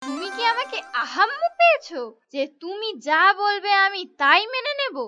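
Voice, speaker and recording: A woman's voice: very high voice, very animated, moderate pace, bright, forceful tone, faint music behind, exaggerated voice. A woman voicing a scolding, greedy village wife in a fairy-tale cartoon, with a nagging, exclamatory delivery. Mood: angry, scolding.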